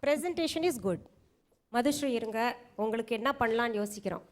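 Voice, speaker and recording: A high-pitched woman gives spoken feedback as an audition judge. She is frank and instructive, explaining her assessment to contestants. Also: lively intonation, moderate pace.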